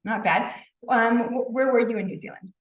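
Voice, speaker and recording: A woman's voice: a little high, moderate pace. A woman from the United States giving a casual talk to students about a university, chatting and asking the audience questions. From United States (St. Louis, Missouri). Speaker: university recruitment and admissions officer. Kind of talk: informal presentation to a student club. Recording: roomy sound, mic at a distance.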